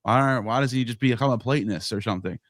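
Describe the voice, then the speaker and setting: Halting, restarting man in podcast chat. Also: mid-range voice, fairly bright tone, dry recording, clean studio sound. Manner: talks in bursts with many restarts. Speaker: podcast co-host or guest. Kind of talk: casual podcast discussion.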